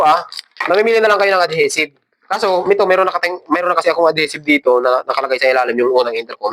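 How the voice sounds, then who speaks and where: A man's voice: fairly high pitch, a bit bright, slight background noise. Filipino man giving a casual hands-on tutorial. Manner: informal, instructing step by step while handling parts. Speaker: tutorial host.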